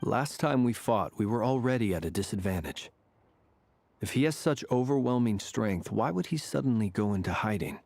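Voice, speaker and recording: Serious game warrior, lowish voice, composed, measured, analytical. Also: male voice, expressive, gravelly, darkish tone, slight background noise. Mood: grave, concerned. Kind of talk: anime game voice acting.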